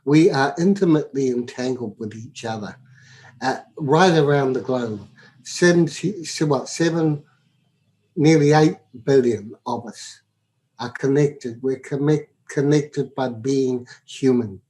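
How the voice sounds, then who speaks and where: A man talking as a podcast guest in a reflective, philosophical way, halting and often repeating his words. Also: medium pitch, mellow, low-quality recording. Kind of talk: reflective, spiritual casual talk.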